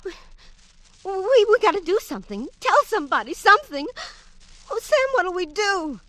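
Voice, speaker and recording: A naive, easily swayed young wife from a vintage radio play. Her voice is very high and lively in pitch, with hesitant, stammering phrases. The sound is dry. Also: normal speed, no room sound, full character voice. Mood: frightened, anxious. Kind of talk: 1940s radio acting.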